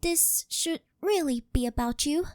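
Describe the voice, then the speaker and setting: A woman's voice: high voice, measured pace, a little mellow, no room sound, studio quality. A woman voicing a possessive, worshipful living doll maid in an intimate ASMR roleplay, speaking in a formal, fawning, melodramatic way. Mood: adoring. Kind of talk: scripted ASMR roleplay, intimate monologue to the listener.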